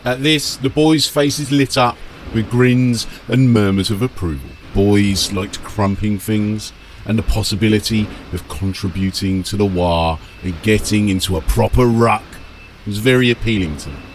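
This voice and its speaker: A man narrating a scripted fantasy audiobook dramatically, with measured, emphatic phrasing. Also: lowish voice, slight background noise, rough recording.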